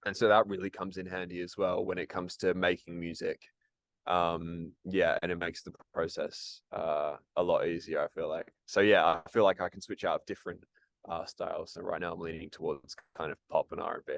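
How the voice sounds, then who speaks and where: A man with a very low voice that barely moves in pitch. He answers interview questions casually, stumbling and restarting often. The sound is dry and close. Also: level tone, a little fast, creaky, no echo. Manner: hesitant, many ums and restarts. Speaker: actor and musician, interview guest.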